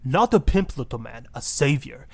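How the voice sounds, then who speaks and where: Radio comedy guest: preachy, pompous Latin-accented marriage guru, swooping pitch, easily offended. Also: male voice, lively intonation, normal speed, gravelly, a little mellow. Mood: grandiose. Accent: put-on Latin. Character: flamboyant self-righteous fake-Latin marriage guru and pimp. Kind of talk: parody radio talk-show guest.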